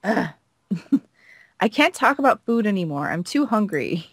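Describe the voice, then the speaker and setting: A woman's voice: medium pitch, very animated, a little slow, dry recording. A woman co-playing a game, reading dialogue aloud in a casual way and chatting between lines. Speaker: co-player reading a character's lines.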